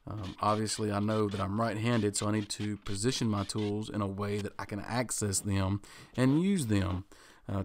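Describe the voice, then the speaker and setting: Man in his thirties, lowish voice, relaxed workshop vlog voice-over, thinking aloud, faint hiss behind. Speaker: woodworking channel host. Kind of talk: casual voice-over explaining a project.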